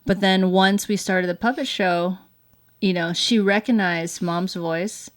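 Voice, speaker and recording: A woman's voice: lowish voice, fairly even intonation, unhurried. A young woman chatting casually as a parent co-host of a family show. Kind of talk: casual chat.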